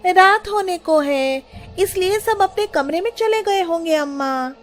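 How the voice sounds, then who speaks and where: A woman voicing an excitable young daughter in a children's cartoon story, with a childlike, exclaiming manner. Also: extremely high pitch, unhurried, faint music behind, voice pushed up. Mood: eager. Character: excitable young daughter, eager, easily scared.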